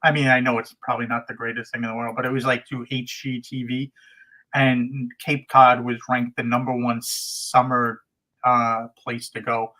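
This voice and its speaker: A man's voice: medium pitch, little pitch movement, normal speed, sharp tone, low-quality recording. A man from the United States, a guest on a casual livestream, explaining things in a meandering way with many restarts. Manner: meandering, full of restarts and hedges.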